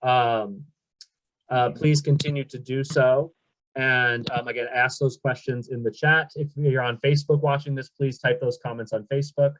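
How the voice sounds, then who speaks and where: A man hosts a panel webinar in a friendly, upbeat way, peppering his remarks with ums. His voice sounds dry and close over a video call, at an ordinary middle pitch. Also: fairly even intonation, normal speed, fairly bright tone, dry recording, rough recording. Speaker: event moderator.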